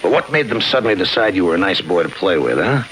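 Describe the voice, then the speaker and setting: A low-voiced, forceful American police lieutenant, cool and relentless. He needles in clipped, deadpan wisecracks, in 1950s radio crime style over old broadcast noise. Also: quick pace, poor audio. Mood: sardonic.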